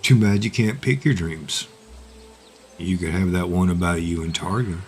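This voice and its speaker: A man's voice: deep voice, moderate pace, darkish tone. An actor playing an earnest, anxious and protective young man in a fantasy audio drama, with a hesitant, emotive delivery. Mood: reassuring, teasing. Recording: music behind the voice.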